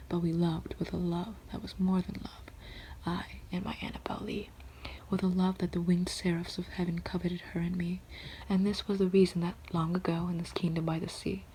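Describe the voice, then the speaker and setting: A woman reading classic poems aloud in a soft, steady whisper, with rhythmic recitation. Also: fairly low pitch, slight background noise, poor audio.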